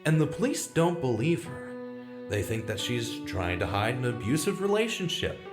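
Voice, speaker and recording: A man's voice: medium pitch, very animated, normal speed, lightly acted. A man playing an earnest small-town journalist, narrating dramatically and confidingly in a vintage-style radio drama. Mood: grave, confiding. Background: prominent instrumental music.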